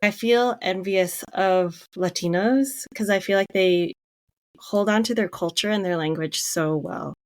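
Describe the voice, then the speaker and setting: A woman's voice: medium pitch, unhurried, no echo, clean studio sound. A young woman from Connecticut co-hosting a book club podcast, chatting casually with lots of "like" fillers and easy laughter. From Connecticut, USA. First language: English is her third language. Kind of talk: casual chat.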